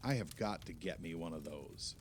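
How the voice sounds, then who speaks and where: An actor playing a jovial, enthusiastic husband hosting a holiday party in an audio play, hearty and exclamatory. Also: male voice, low-pitched, animated, normal speed, a little hiss or hum.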